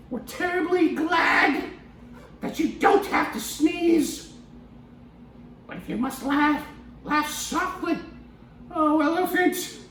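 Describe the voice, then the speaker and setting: A man voicing a cheeky little gray male mouse with a squeaky, teasing way of talking. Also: pushed and bright, slight background noise, full character voice. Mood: pleading. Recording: echoey room from a distance.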